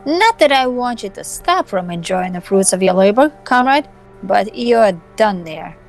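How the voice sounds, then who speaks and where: A woman's voice: medium pitch, animated, measured pace, creaky, soft background music. A woman playing a sardonic young woman hacker in an amateur game reading, wry, flippant and casual. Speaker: voice actor in a hobby visual novel performance.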